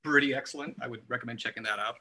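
A man's voice: mid-range voice, racing, pushed and bright, husky, rough recording. A man on a music podcast panel, talking casually and explaining his opinions.

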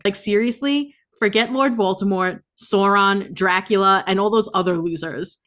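A woman's voice: mid-range voice, a bit bright. A woman co-hosting a casual book podcast, talking bluntly and sarcastically with plenty of swearing. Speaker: podcast co-host. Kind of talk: casual chat, book review.

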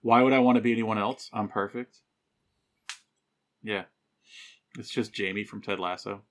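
A man's voice: on the low side, steady tone, normal speed, fairly bright tone. A man in casual chat with friends about their tabletop game, joking and rambling with lots of laughter. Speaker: podcast cast member.

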